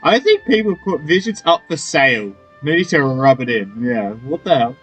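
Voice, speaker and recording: Male let's-play streamer: lively, joking, off-the-cuff reactions over quiet game music. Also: a little high, moderate pace, highs cut off. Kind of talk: casual gameplay commentary.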